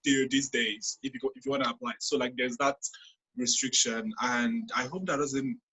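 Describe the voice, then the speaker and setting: A man's voice: mid-range voice, steady tone, normal speed, sharp tone, husky, low-quality recording. A young man, co-founder of a youth brand, chatting loosely on a video call with many fillers. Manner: loose, filler-heavy talk. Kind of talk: casual video call chat.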